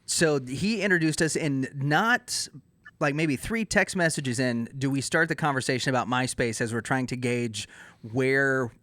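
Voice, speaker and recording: Male host, mid-pitched, dry studio-close sound, casual interview chat. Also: measured pace, pushed and bright, no room sound. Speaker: podcast host.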